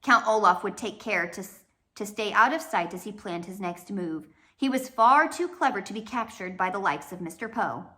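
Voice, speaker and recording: A woman reads a children's novel aloud in a bright, mid-pitched voice. Her storytelling is expressive and dramatic, moving between swells and hushed drops. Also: normal speed. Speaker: storybook reader.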